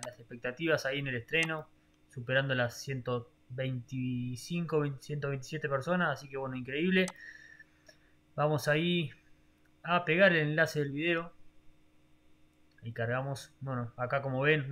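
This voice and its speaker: A man's voice: medium pitch, bright, forceful tone. A young man hosts a channel giveaway in a grateful, upbeat way, full of loose filler phrases. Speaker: YouTube channel host. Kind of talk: casual giveaway announcement.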